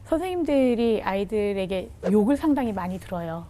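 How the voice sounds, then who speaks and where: Earnest mellow-voiced woman in her forties. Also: medium pitch, lively intonation, brisk. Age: 40s. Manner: earnest storytelling, acts out children's words. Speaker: guest, children's home director.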